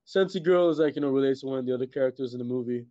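A middle-aged man from Trinidad and Tobago chatting casually as an interview guest, halting and repeating words, with many "you know"s. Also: medium pitch, mellow, no room sound. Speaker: guest, filmmaker and musician. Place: indoors on a video call. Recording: muffled, dull video-call audio.

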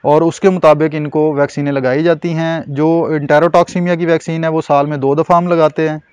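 A man in his thirties with a Pakistani Urdu accent speaks in a measured, informative way, pausing on fillers as he explains. His voice sits fairly high for a man. The sound is close and dry, with little room around it. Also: flat delivery, a little mellow, smooth, dry recording. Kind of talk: explanatory on-camera interview. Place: outdoors at a covered goat shed.

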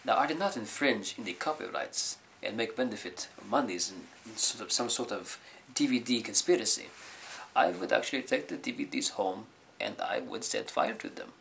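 A deadpan, quietly menacing oddball, played by a man in an improv comedy bit. His voice sits low but has a bright, forceful edge. He speaks in halting, stiffly phrased sentences. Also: vocal fry, slight background noise, heavily acted.

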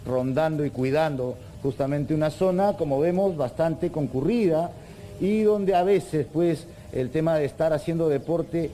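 Very mellow Peruvian male reporter. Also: fairly high pitch, a little slow. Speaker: field reporter. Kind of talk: live news report, conversational. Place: open seafront park walkway. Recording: outdoor ambient noise.

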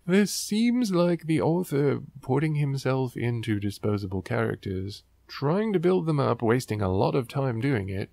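A man's voice: medium pitch, expressive, a little slow, vocal fry, few pauses, darkish tone, no echo, clean studio sound. A man hosting a book riffing show, reading aloud and commenting in a sarcastic, wry, exasperated way.

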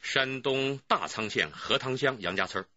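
An actor playing a seasoned, good-humoured police detective in a Chinese radio drama, with a husky voice. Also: male voice, medium pitch, lively intonation, moderate pace, bright, forceful tone, no room sound, dull audio. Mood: reporting.

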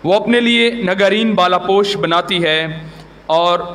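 A man with a Pakistani accent speaking as a pastor, giving formal greetings and scripture in solemn, deliberate phrases. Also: high voice, a bit bright. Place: church gathering. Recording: noisy live church recording.

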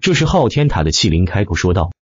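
A man's voice: deep voice, sing-song, quick pace, breathy edge, dry recording, dull audio. A man reading a fantasy novel as dramatic scripted narration. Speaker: audiobook narrator.

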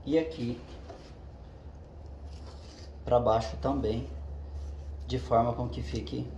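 A man's voice: medium pitch, fairly even intonation, slow, a little mellow. Host, male, casual hands-on tutorial, talks through each step while working, recorded in an echoey garage with faint background noise. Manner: explains step by step while working with his hands, often checking with "tá?". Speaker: channel host. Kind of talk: casual how-to demonstration. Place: home garage with the door open.

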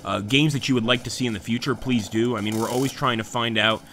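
A man's voice: mid-range voice, steady tone, fast talker, a bit bright, slight background noise. A man hosting a gaming channel, talking casually over gameplay and answering live chat comments.

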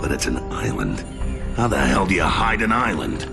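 Game role: terse, weary soldier leader, gravel tone, varied pitch, loud score behind. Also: male voice, very animated, moderate pace, exaggerated voice. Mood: gruff. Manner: terse, commanding. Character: gruff, weary, commanding male soldier squad leader. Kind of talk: video game cutscene acting. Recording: loud music and vehicle noise behind.

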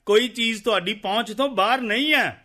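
An actor playing a persuasive, good-humored Punjabi farm adviser in a radio drama, insistent and jovial. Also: male voice, very high voice, brisk, pushed and bright.

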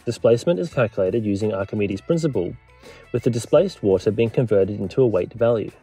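A man, a maritime historian and lecturer, giving scripted explainer narration, clear and measured with a lightly wry touch. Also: medium pitch, dark tone.

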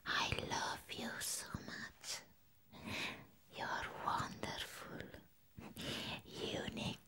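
A woman voicing a sweet, adoring vampire in a whispered ASMR roleplay, speaking tenderly and repeating soft words to comfort a human friend. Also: extremely slow. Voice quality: breathy unvoiced whisper.

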